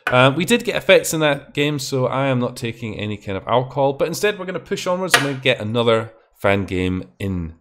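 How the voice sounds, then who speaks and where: Young Scottish host, casual and rambling, swears freely, restarts sentences. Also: male voice, medium pitch, sing-song, fairly quick, hardly any pauses, very noisy. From Scotland. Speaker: stream host. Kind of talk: casual chat on a live stream.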